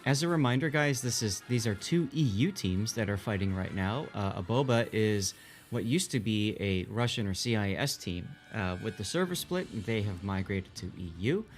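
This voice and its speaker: A man giving conversational live commentary as an esports shoutcaster. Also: on the low side, expressive, normal speed, soft background music.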